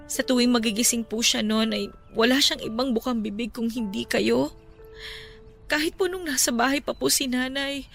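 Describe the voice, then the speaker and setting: A woman performs a patient, caring young daughter who talks softly and respectfully as she soothes her grieving father. Her voice is fairly high, with faint music playing underneath. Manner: soft, respectful, consoling. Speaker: voice actress in a radio drama. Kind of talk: radio drama acting.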